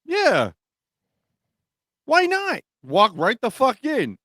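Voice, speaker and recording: A man's voice: extremely high pitch, huge pitch swings, very slow, pushed and bright, no echo, clean studio sound. A man co-hosting a talk show, chatting casually and giving opinions in a blunt, profane way. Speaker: talk radio co-host.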